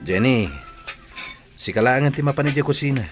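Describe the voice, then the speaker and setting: A man's voice: medium pitch, normal speed, music in the background, natural voice. An actor with an Ilocano Filipino accent playing a casual, teasing young rich man in a radio drama. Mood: inviting.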